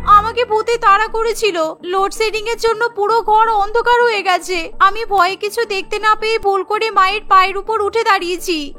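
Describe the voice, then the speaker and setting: A woman's voice: extremely high pitch, fairly even intonation, normal speed, bright, forceful tone, soft background music, pitched above the performer's own voice. A woman voicing a timid, anxious young daughter-in-law in a cartoon story, pleading as she talks. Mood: frightened.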